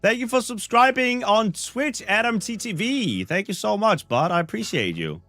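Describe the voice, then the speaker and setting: Lively Danish-accented man chatting on stream. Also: high voice, normal speed, faint music behind. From Denmark. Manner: joking, teasing, rambling to chat. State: slightly under the weather. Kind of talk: live-stream chat while playing a game.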